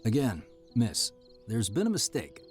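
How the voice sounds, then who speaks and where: A man's voice: medium pitch, wildly swooping pitch, measured pace, darkish tone. A man playing a brusque, protective assistant in his fifties, curt and formal, in a screenplay reading with music underneath. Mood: skeptical, curt. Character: brusque, protective fifty-ish male assistant guarding his employer. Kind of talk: screenplay table-read acting.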